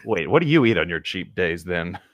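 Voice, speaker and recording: A man's voice: low voice, big pitch swings, a little fast, no echo. A man co-hosting a comedy read-aloud, chatting and riffing in a casual, joking style. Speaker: co-host reading and riffing on fan fiction.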